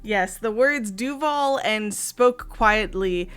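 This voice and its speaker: Hoarse, giggly woman streamer. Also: medium pitch, slow pace, a bit bright. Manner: giggly, chatty, talks to chat. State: hoarse, partly lost voice. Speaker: streamer host. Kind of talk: casual livestream chat.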